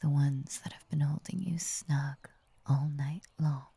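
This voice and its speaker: A half-snake lamia, played by a woman, doting and unnervingly possessive, in an intimate ASMR roleplay. Her voice is breathy and mellow, often dropping to a whisper with a crackly fry at the edges. She speaks slowly and coaxingly, in formal, soothing phrases. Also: low-pitched, fairly even intonation, no room sound, full character voice. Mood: tender, doting.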